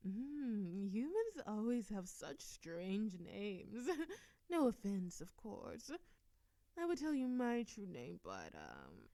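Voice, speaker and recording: A woman's voice: mid-range voice, wildly swooping pitch, slow pace, dark tone, no room sound, exaggerated voice. A woman voicing a playful, seductive immortal succubus demon princess in an audio roleplay, teasing and giggly with a sultry drawl. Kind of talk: scripted audio roleplay acting.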